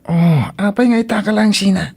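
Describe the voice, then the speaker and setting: A man's voice: high-pitched, moderate pace, vocal fry. A man with an Ilocano accent playing an eager, flirtatious secret lover with a playful manner in a radio drama.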